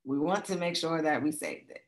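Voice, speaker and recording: A chatty woman walks through a tutorial at an easy pace. Her voice sits a little low and has a slight rasp. She keeps checking in with her listeners as she goes. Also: lively intonation, moderate pace. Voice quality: raspy. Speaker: travel agent teaching video making. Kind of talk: screen-recorded tutorial walkthrough.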